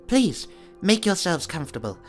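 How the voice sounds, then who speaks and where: A man's voice: sits high, sing-song, moderate pace. A man playing a welcoming, wry monk master of a run-down space temple in an amateur audio play. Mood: gracious. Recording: music under the voice.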